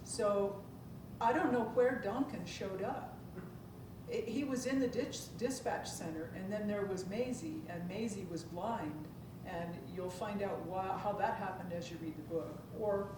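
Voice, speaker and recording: A woman talks informally to an audience as one of a panel of authors. Her pitch is middling. The sound is heavily reverberant and distant, with clear background noise. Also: measured pace. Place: public room at a library event. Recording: echoey noisy room, far from mic.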